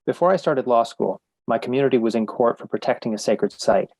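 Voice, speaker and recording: Male narrator, average pitch, measured serious read, dry studio-like sound. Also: darkish tone, husky, no room sound.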